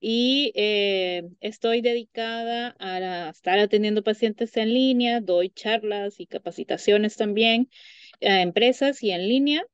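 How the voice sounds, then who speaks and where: A woman's voice: medium pitch, unhurried, smooth, no echo, dull audio. A woman in her thirties, a psychologist, chatting in an interview over a video call, with lots of fillers and restarts. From Honduras. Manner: chatty, many fillers and restarts, laughs often. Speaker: psychologist guest. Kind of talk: casual interview conversation. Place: home room by a window. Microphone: video call webcam.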